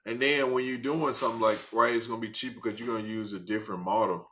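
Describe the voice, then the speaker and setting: A man co-hosting a casual tech podcast over a video call, relaxed, with fillers like uh and right. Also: fairly low pitch, fast talker, slight background noise. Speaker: podcast co-host.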